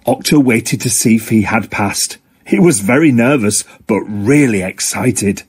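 A man narrating a scripted children's story in an expressive, deliberate way. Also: fairly low pitch, breathy edge.

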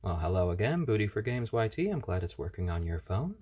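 A man hosting a casual live stream at home on his phone, speaking gently to viewers and to baby chicks. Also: lowish voice, sing-song, fairly quick, slightly rough. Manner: gentle, soft-spoken, talks to chat and chicks. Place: home room. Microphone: handheld phone.

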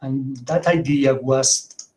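A man's voice: mid-range voice, takes it slowly. A man in his forties from Argentina, giving a conference talk over a video call, hesitant and full of fillers. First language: Spanish. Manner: hesitant, many fillers, animated. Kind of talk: remote conference presentation. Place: indoor home room. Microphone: webcam on a video call.

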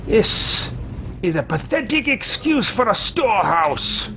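A man's voice: high-pitched, big pitch swings, slow, creaky, background noise, voice pushed up, exaggerated voice. A man in his thirties voicing a haughty, greedy male mage adventurer with pompous, theatrical disdain in a video game roleplay. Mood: scornful. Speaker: let's-play host roleplaying a game character. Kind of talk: theatrical in-character game commentary.

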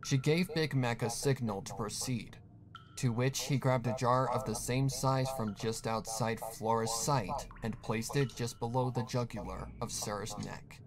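Male narrator for scripted horror fiction, medium pitch, clear crowd noise in the background. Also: a little slow.

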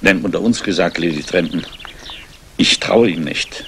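An actor playing a courteous, guarded English aristocrat investor in a 1960s German crime radio play. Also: male voice, sits low, moderate pace, fairly bright tone, slight background noise. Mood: confiding. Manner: courteous, urbane.

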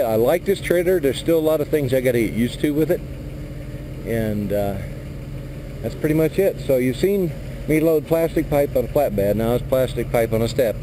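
A middle-aged man, a truck driver, walking around his trailer outdoors in a yard and explaining his work in a relaxed, plain-spoken way into a handheld action camera. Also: mid-range voice. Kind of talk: casual vlog walkthrough. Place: outdoor industrial yard beside a flatbed trailer. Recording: noisy outdoor camera audio.

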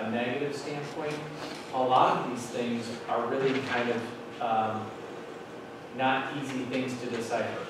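A middle-aged man at a podium, explaining policy updates to a school board in a casual, explanatory way with many fillers, recorded from across a large meeting room. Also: mid-range voice. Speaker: school administrator presenting to a board. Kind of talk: meeting presentation. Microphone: room mic from far off, standing at a podium. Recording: very echoey room, distant mic, noisy.

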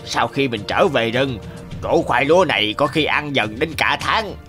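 A man's voice: mid-range voice, expressive, normal speed, pushed and bright. A man voicing a greedy, cunning male tanuki in a cartoon, who turns whiny when caught. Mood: gleeful. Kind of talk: cartoon voice acting. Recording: faint music behind.

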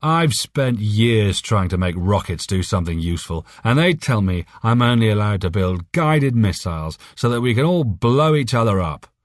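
A drunk, morbid scientist from an audiobook tale, acted out by a male narrator, rambles on with smug, pitying sarcasm. His speech is cynical and loose, lifted in pitch. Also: big pitch swings, normal speed, hardly any pauses, no room sound, studio quality. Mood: morbid, bitter.

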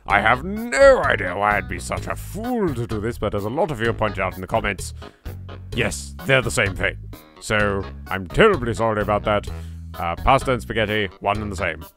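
A British man commentates on a video game as host, with playful, exaggerated reactions. His pitch swings widely and has a creaky edge. Faint game music runs behind him. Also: big pitch swings, moderate pace, a bit bright. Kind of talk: let's play commentary.

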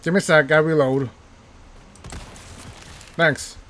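A man's voice: on the high side, steady tone, measured pace, fairly bright tone, slight background noise. Chatty male gamer narrating his play. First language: not English, by his own joke. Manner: chatty, self-mocking, repeats phrases under pressure. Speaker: gaming YouTuber. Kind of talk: casual let's-play commentary.

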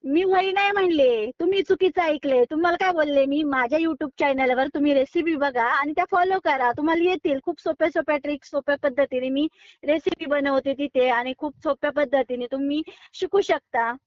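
A woman's voice: high-pitched, fairly quick, dry recording. A woman in an unscripted phone conversation, arguing firmly and sounding exasperated. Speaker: online recipe video creator receiving a prank call. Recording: dull, lo-fi phone call audio.

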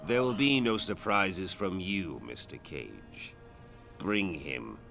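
A fallen god, a cold and haughty game villain. The voice is very low and gravelly, slowly savoring words with imperious disdain and sweeping intonation. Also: male voice, animated, bright, forceful tone, faint music behind, full character voice. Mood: gloating disdain. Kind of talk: dramatic video game villain acting.

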